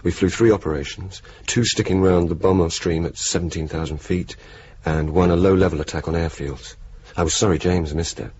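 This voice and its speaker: A low, dry-humoured young wartime air force officer played by a male actor in a radio play. He talks in clipped, ironic understatement, on a dull recording with faint hiss. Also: fairly even intonation, a little fast, hardly any pauses, a little mellow, natural voice. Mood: measured narration. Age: young adult. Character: wry, bantering young RAF bomber officer. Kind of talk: radio drama dialogue and narration. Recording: dull, hissy 1980s radio broadcast.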